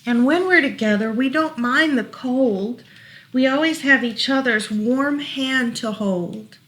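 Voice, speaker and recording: A woman reading picture books aloud to small children as a storytime librarian, gently explaining as she goes. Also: sits high, rough recording. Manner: gentle, unhurried, explaining pictures to little listeners.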